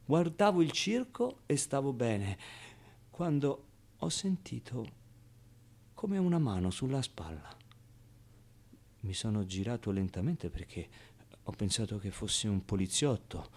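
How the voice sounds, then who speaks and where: A wistful, streetwise young man tells of his boyhood in a theatrical monologue. His medium-pitched voice is colloquial and confiding, broken by dramatic pauses, with a naive edge. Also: sing-song, darkish tone. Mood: tender, wondering.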